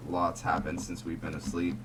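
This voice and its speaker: A man's voice: sits low, moderate pace. A man giving casual gameplay commentary, muttering and thinking aloud to himself. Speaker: gaming video host. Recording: distant mic, loud steady background noise.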